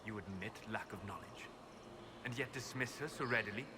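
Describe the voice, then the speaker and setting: An actor playing a grave, secretive elven sage in a video game, solemn and deliberate, with dry disdain. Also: male voice, fairly low pitch, background noise, close to an everyday voice. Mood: dry, guarded. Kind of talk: video game dialogue acting. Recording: loud music under voice.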